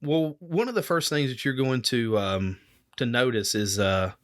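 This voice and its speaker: A man's voice: mid-range voice, a little slow. A man from Alabama, co-hosting a casual retro game podcast, rambling and restarting his sentences. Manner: rambling, restarts often, chuckles. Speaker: podcast co-host.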